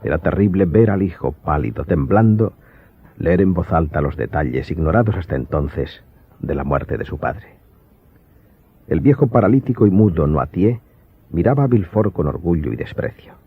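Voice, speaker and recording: Male narrator for audiobook drama, very deep, scripted storytelling, thin band-limited sound. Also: lively intonation, normal speed, husky, no echo. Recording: narrow phone-like sound, faint music behind.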